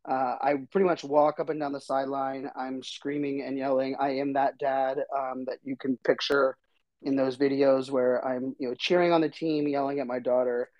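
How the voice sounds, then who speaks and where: A middle-aged man with a slightly hoarse voice, hosting a podcast in casual, rambling talk with lots of fillers. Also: mid-range voice, little pitch movement, moderate pace, no room sound, highs cut off. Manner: rambling, chatty, many fillers. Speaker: podcast host.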